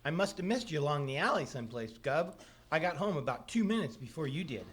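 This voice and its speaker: A man's voice: on the high side, very animated, quick pace, fairly bright tone, slightly rough, full character voice. A man playing an eager, folksy know-it-all son in a family, in 1940s-style radio comedy. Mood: chatty. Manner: folksy, explaining earnestly. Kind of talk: 1940s radio comedy acting, live on stage. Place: live theatre stage.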